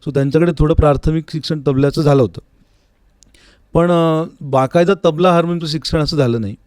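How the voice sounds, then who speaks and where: A man from Manmad in Maharashtra with a Maharashtrian accent, answering interview questions conversationally as a vocalist guest, reflective and often pausing mid-phrase in a studio. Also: a little high, a little mellow, smooth, no echo. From Manmad near Nashik, Maharashtra, India. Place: recording studio.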